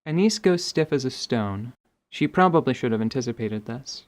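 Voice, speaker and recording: A man's voice: mid-range voice, expressive, moderate pace. Male narrator, mid-range voice. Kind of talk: scripted story narration.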